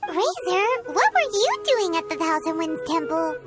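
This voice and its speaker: A woman voicing a tiny, cheeky, excitable childlike fairy companion in a video game, with a squeaky voice. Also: measured pace, over loud music, exaggerated voice. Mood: knowing, curious. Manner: speaks of herself in third person, exclaiming.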